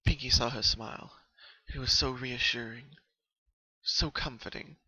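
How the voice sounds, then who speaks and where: A man's voice: mid-range voice, fairly even intonation, a little slow, pushed and bright, breathy edge, no echo, poor audio. A man narrating a story in a dramatic scripted reading.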